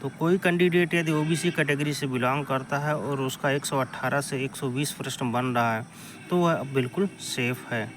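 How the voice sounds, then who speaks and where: A man's voice: mid-range voice, moderate pace, background noise. A man explaining exam analysis to students in informal, repetitive, step-by-step phrasing. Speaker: exam-coaching presenter.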